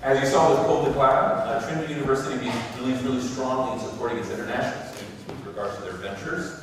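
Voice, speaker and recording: A man serving as emcee, announcing the next presenter in a brisk, formal way. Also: medium pitch, fairly even intonation. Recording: echoey hall from far off, loud crowd noise.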